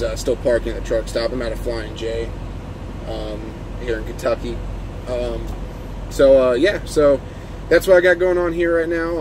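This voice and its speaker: A man who drives trucks talks in a casual, unscripted vlog, rambling informally as he explains things from his parked truck. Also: mid-range voice. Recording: clear steady vehicle and engine-like noise.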